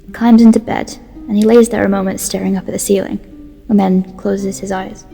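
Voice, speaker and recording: A woman's voice: medium pitch, flat delivery, brisk, vocal fry, warm tone, breathy edge. A woman reading scripted present-tense story narration for an audio drama. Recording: long reverb, faint music. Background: faint music.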